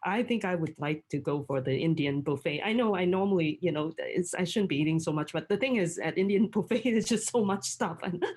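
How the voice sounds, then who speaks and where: A woman's voice: fairly low pitch, fairly quick, a little mellow, breathy edge. A middle-aged woman from Hong Kong, a guest in casual chat, talking freely with frequent laughs and restarts. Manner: chatty, laughs often, many restarts.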